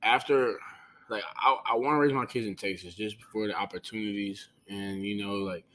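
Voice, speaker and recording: A man's voice: fairly low pitch, fairly even intonation, moderate pace, pushed and bright. A young man from New Orleans with a light New Orleans Creole accent, talking casually as a podcast guest in loose, rambling sentences full of restarts and fillers. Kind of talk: casual conversation.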